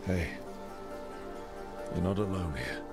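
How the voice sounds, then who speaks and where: An actor playing a supportive, teasing armored hero and romantic partner in a video game. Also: male voice, sits low, slow, gravelly, heavily acted. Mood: reassuring. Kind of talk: video game dialogue acting. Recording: game dialogue under loud music.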